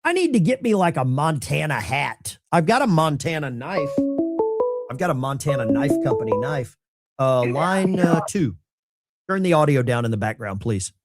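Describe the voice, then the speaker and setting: A man's voice: on the high side, big pitch swings, over loud music. A man hosting a call-in show in a casual, joking, offhand way. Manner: joking, offhand, quick quips.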